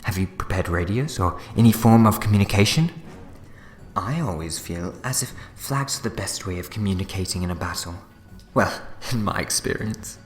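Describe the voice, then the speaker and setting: An actor playing a gleefully smug, scheming time-travelling villain in a fan audio drama, theatrical, wheedling and gloating. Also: male voice, low voice, expressive, moderate pace. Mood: mock-jovial. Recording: echoey long reverb, music behind.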